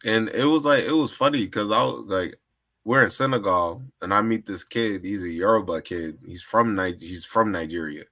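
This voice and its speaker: A man's voice: lowish voice, normal speed, a bit bright. A man in a casual discussion, often restarting and repeating words as he builds his argument. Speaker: guest.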